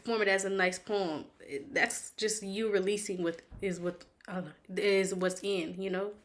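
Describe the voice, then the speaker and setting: Woman interviewer, mid pitch, curious, chatty, conversational. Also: fairly even intonation, a little slow. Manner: curious, chatty, thinks aloud. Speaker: interview host.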